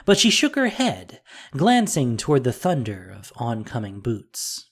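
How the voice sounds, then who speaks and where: Audio drama narrator, animated intonation, mid pitch, measured storytelling, music in background. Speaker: podcast narrator and producer.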